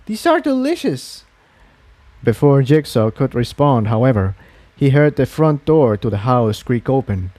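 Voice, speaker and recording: A man's voice: medium pitch, normal speed, mellow, dry recording. A man reading scripted fiction aloud as its narrator.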